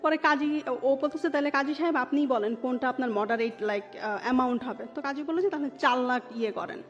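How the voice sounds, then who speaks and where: A woman's voice: high voice, fairly quick. A woman with a Bangladeshi accent telling her own story conversationally as an interview guest, slipping English words into her speech. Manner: mixes English words into Bengali. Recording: dull sound, long reverb, background music.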